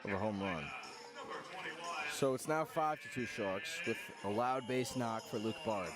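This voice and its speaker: A man's voice: fairly low pitch, lively intonation, a little slow. Male baseball radio play-by-play announcer. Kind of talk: live baseball play-by-play commentary.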